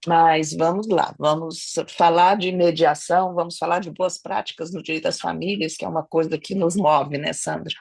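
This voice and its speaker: Hoarse, gravelly Brazilian woman lawyer. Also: sits low, fairly even intonation, moderate pace, few pauses, a little mellow, no room sound. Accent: Brazilian Portuguese. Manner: earnest, explanatory, with frequent restarts and tag questions. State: hoarse, has a cold. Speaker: family lawyer and mediator, webinar panelist. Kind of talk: online webinar talk. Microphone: video call.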